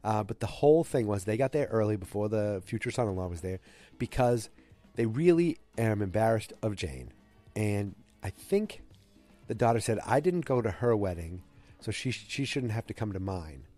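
Rambling low-voiced man with a cold. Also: animated, normal speed, mellow, faint music behind. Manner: rambling, digressive, self-correcting. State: has a cold. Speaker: podcast host recapping a TV episode. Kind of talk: casual solo recap.

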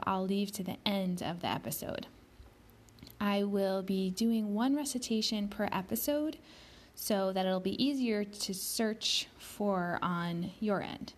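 A woman hosting her own podcast, speaking slowly and deliberately, pronouncing each word carefully, sometimes dropping to a soft whisper. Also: medium pitch. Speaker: podcast host. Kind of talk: slow, careful spoken podcast introduction.

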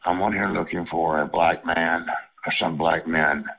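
A man speaking in a slow, flat come-on, heard over the phone. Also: low-pitched, slightly rough. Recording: tinny phone line.

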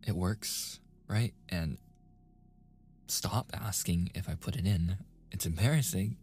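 A man playing a flustered, submissive young boyfriend in a soft-spoken ASMR roleplay, stammering and sighing, often slipping into whispers. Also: low-pitched, slow pace, faint music behind. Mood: embarrassed, refusing. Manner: stammering, sighing, breathy asides, protesting. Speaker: audio roleplay voice performer.

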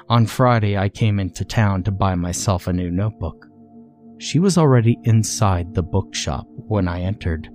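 Low-voiced man narrating horror. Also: dark tone. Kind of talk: scripted first-person creepypasta narration.

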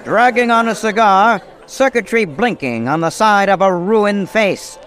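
A man's voice: high voice, unhurried, fairly bright tone, faint music behind. A man delivering scripted, dramatic narration for a radio drama.

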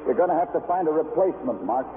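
An actor playing a terse, world-weary police detective in a vintage radio noir drama, deadpan and brooding. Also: male voice, a little high, quick pace, background noise, close to an everyday voice. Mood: terse, accusing. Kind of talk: 1950s radio noir acting and narration. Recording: old tinny radio recording, music behind.

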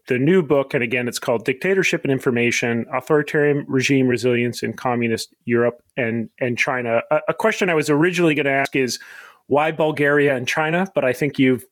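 A man hosting an interview podcast, speaking in measured, scholarly phrasing. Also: medium pitch, no room sound. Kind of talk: scripted introduction, then thoughtful interview questions.

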